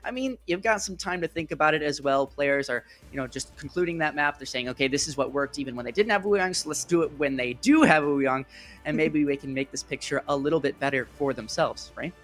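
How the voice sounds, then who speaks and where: High-voiced man casting esports over music. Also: brisk, fairly bright tone. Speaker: esports commentator. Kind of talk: live play-by-play game commentary.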